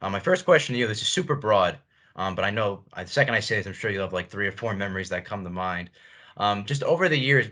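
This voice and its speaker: A young man with a deep, bright voice talks quickly. He comes across as a casual sports show co-host, setting up interview questions in a conversational way.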